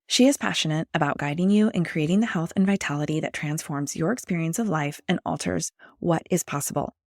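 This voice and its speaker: A woman hosting a podcast as a health and weight loss coach, her voice slightly croaky from a chest cold, chatty and enthusiastic. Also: low voice, fairly quick, dry recording, clean studio sound. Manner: chatty, enthusiastic, with many fillers. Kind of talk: podcast interview.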